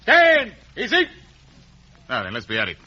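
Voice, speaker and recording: Commanding soldier-sergeant, high-pitched bark, wide swings, noisy old radio sound. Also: male voice, wildly swooping pitch, moderate pace, sharp tone, exaggerated voice. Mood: barking orders. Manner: commanding, barking. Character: brisk, blustering army sergeant in the king's service. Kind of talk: 1950s radio drama acting. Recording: noisy, dull vintage radio broadcast.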